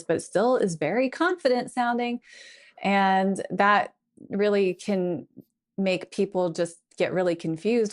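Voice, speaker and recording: Lower-voiced woman explaining tech carefully. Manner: thoughtful, explanatory, lays out points in lists. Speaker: guest tech consultant. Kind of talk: conversational podcast interview.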